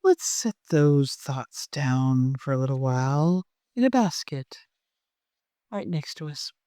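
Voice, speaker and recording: A meditation guide of unclear sex, speaking gently and softly in an unhurried way, with long pauses, leading a guided meditation. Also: mid-range voice, expressive, smooth, dry recording, clean studio sound.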